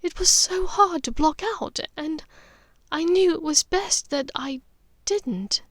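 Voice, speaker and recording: A woman voicing a haunted, gently resolute witch mother in an audiobook, speaking earnestly and haltingly with care. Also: sits high, no room sound, clean studio sound, voice pushed up. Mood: troubled, intense. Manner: halting, vehement, careful. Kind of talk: audiobook character dialogue.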